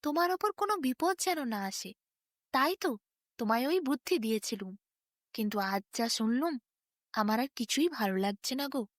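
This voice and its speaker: A woman's voice: a little high, animated, normal speed, no room sound, studio quality, natural voice. A woman voicing a sly donkey in a bedtime story, confiding in a plaintive way with feigned sorrow.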